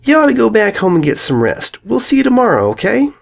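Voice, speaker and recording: High, folksy young country filly pony for an animal story, performed by a male narrator, earnest drawl. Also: huge pitch swings, fast, gravelly, full character voice. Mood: reassuring. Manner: folksy drawl, says ain't.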